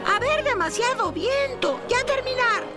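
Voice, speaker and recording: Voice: very high-pitched, animated, unhurried, loud music behind the voice, exaggerated voice. An actor voicing a small, anxious, helpful young male pterosaur in a dubbed cartoon, talking in broken childlike grammar. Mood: urging. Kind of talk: animated cartoon dubbing.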